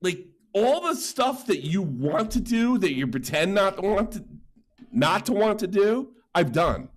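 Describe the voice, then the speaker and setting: A man's voice: high voice, very animated, measured pace. A middle-aged man ranting boastfully about his life experience.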